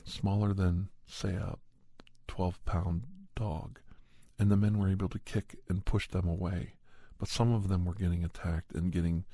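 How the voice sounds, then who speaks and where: A man narrating a first-person horror story in ASMR style, with a measured, halting delivery in short phrases. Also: deep voice, gravelly, mellow, breathy edge, no echo. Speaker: podcast host and storyteller.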